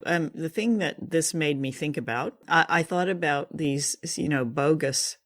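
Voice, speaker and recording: A woman's voice: deep voice, normal speed, fairly bright tone. A woman hosting a radio show in a casual, chatty style, with a light, playful manner. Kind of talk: casual hosted chat.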